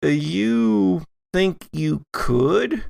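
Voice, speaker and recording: A man running a tabletop horror game as game master, narrating in a deliberate way with pauses. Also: sits high, expressive, gravelly, darkish tone, dry recording. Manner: deliberate, pausing. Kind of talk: narrating a tabletop audio drama.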